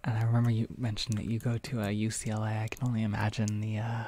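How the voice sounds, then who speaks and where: A man hosting a relaxed livestream, talking softly and slipping into half-whispers, halting with fillers, his nose a little stuffy. He speaks close to the microphone. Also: lowish voice, slight background noise. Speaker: ASMR audio creator hosting a livestream. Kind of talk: soft-spoken casual livestream chat, reading chat.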